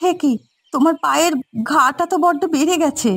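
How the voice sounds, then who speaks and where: A woman's voice: sits high, fairly quick, creaky, a bit bright, dry recording. A woman dubbing a worried village wife in a cartoon, fussing and emphatic. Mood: worried, reassuring. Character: worried, scolding yet reassuring village wife.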